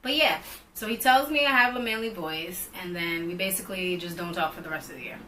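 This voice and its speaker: A woman's voice: fairly low pitch, fairly quick, slight background noise, poor audio. A young woman co-hosting a couple's vlog, telling a storytime casually and animatedly, with lots of "like". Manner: animated, heavy use of "like", rising excitement. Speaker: vlog co-host.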